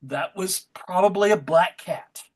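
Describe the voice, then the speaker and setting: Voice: medium pitch, a little slow, a little mellow, lightly acted. An actor playing a pompous, slippery devil in a live comedy table read, given to indignation and bellowing. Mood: smug.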